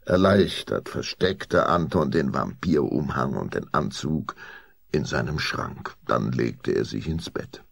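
Male audio-play narrator, gravelly, slow, dry close studio sound, suspenseful storytelling. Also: extremely low pitch, lively intonation, no echo.

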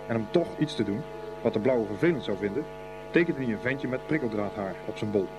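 A man's voice: on the low side, animated, a little fast, music in the background, lightly acted. A man narrating a scripted boys' school story for a radio play. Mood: storytelling.

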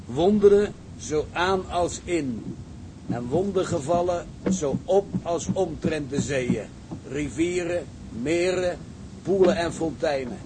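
Easygoing higher-voiced young shipmate. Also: male voice, slow, noisy background. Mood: reading aloud ponderously. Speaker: actor in a radio drama. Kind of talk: Dutch radio play acting.

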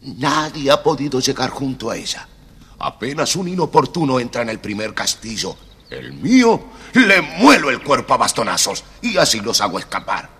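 An actor playing a little old bearded keeper of a magic castle in an audio storybook, pleading and theatrical in his drawn-out delivery. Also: mid-range voice, very animated, bright, forceful tone, husky, heavily acted. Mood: grave, resigned. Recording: long reverb, faint music behind.

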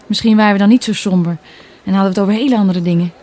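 Young, downcast daughter for radio drama, played by a woman: soft-edged, mellow, guarded, natural delivery. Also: medium pitch, steady tone, rapid-fire, close to an everyday voice. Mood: pensive. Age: young adult. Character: melancholy, guarded young daughter, doubting her mother. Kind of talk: Dutch radio play dialogue, naturalistic.

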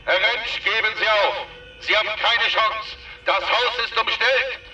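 Distant, high-pitched police announcer. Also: male voice, a little slow, bright, forceful tone, highs cut off, heavily acted. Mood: stern command. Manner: formal, commanding announcement. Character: stern policeman ordering a gunman to surrender. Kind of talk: German radio drama acting. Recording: distant voice with crowd sound behind.

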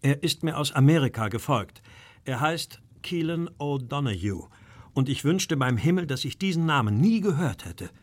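Uneasy, genteel Victorian art dealer. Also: male voice, fairly high pitch, expressive, normal speed. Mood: confessional. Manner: formal, uneasy, recounting in detail. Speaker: actor in a detective radio play. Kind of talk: German radio crime drama acting.

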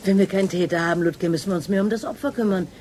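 An actress playing a brisk, commanding female police inspector in a German radio drama, matter-of-fact and determined. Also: medium pitch, fairly even intonation, a little mellow, a little hiss or hum, natural voice.

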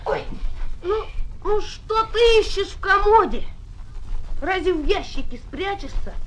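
Whiny little boy playmate, very high voice, slow talking, old kids radio drama. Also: animated, a bit bright, heavily acted. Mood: fed up. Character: grumbling little boy, a playmate who soon gives up. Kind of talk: 1970s children's radio play acting. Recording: noisy old 1970s flexi-disc record.

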